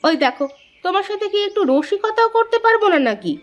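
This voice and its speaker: A woman's voice: very high-pitched, very animated, brisk, slight background noise. A woman playing a teasing, affectionate village wife in a story, coy and colloquial. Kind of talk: acted village story dialogue.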